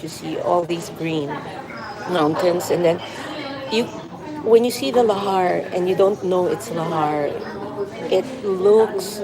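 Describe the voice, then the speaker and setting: A woman's voice: lowish voice, low-quality recording. A middle-aged woman from the Philippines chatting casually on a live stream from a coffee shop, rambling and reading out viewer comments. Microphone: phone on a mobile hotspot. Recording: crowded café chatter behind. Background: café crowd chatter.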